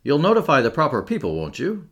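Casual male doctor for audiobook, narrator-acted, clipped, brisk phrases with varied pitch. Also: huge pitch swings. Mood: matter-of-fact.

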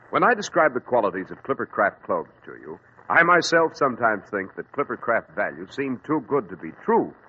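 A man reading a radio advertisement in an emphatic, polished sales manner. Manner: smooth, emphatic sales pitch. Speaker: radio announcer. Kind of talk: old radio ad read. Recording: tinny old radio with faint hiss.

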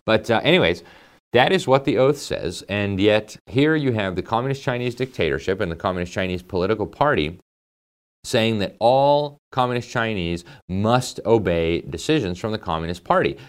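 Man, very low pitch, show host, emphatic commentary, conversational fillers. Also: animated, normal speed, clean tone. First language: English. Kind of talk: political commentary monologue.

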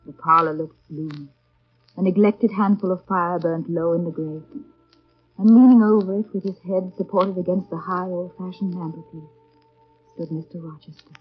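An actress playing an earnest, resolute, sorrowful young English governess in formal, measured 1930s radio drama style. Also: female voice, fairly low pitch, fairly even intonation, husky. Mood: wistful narrating. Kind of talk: 1930s radio drama acting and first-person narration. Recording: dull 1930s radio broadcast with music.

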